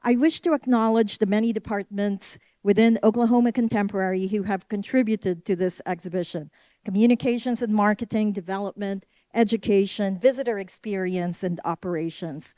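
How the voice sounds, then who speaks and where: A woman whose first language is Filipino, giving formal, measured closing thanks as a curator. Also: a little high, darkish tone, no echo. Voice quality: formal, measured delivery reading a list of thanks. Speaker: senior director of curatorial affairs. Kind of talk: prepared closing remarks.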